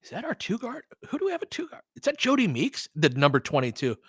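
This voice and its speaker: A man's voice: high voice, huge pitch swings, fast, fairly bright tone, breathy edge, no room sound. Guy hosting a bball watch-along, slangy n sweary, voice jumps around a lot, real dry sound. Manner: informal, profane, slangy. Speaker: host of a fan watch-along. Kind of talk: casual live basketball commentary, chatting with viewers.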